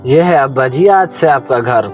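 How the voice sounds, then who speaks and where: A man's voice: mid-range voice, music in the background, close to an everyday voice. An actor playing a kindly, dutiful younger man in an audio drama, earnest and respectful. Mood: sympathetic, earnest. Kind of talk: Urdu moral audio drama acting.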